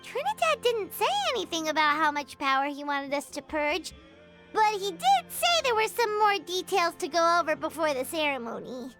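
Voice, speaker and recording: A woman voicing a small, excitable, childlike video game companion who is earnest and exclamatory. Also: extremely high pitch, moderate pace, over music, heavily acted. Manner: exclamatory, stammering when flustered. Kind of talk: animated video game character acting.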